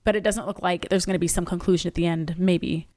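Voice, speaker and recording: A woman's voice: fairly low pitch, fairly even intonation, fast, darkish tone. Chatty woman reviewing a movie. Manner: chatty, hesitant with many ums. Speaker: podcast co-host. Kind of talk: casual movie review chat.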